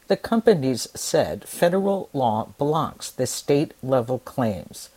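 A woman news anchor reading scripted radio headlines in a measured, formal delivery. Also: very deep voice, dark tone, breathy edge, poor audio.

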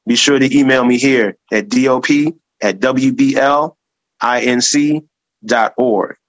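A man's voice: mid-range voice, a little slow, no echo. A male radio host who trips over his words, restarting and repeating as he goes. His tone toward his guest is encouraging and full of praise. The sound is dry and close, with little room around it. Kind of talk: casual interview.

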